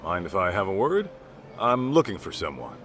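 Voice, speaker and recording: A man's voice: deep voice, very animated, moderate pace, fairly bright tone, slight background noise. An actor playing a man who questions people politely and persistently in a game dub. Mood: earnest.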